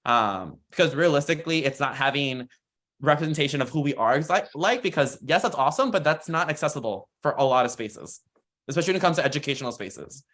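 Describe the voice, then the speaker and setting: Higher-voiced American man, earnest and informal. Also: lively intonation, fast talker, fairly bright tone. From United States, Florida and rural Texas. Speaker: workshop panelist. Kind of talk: informal panel talk. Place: online video call.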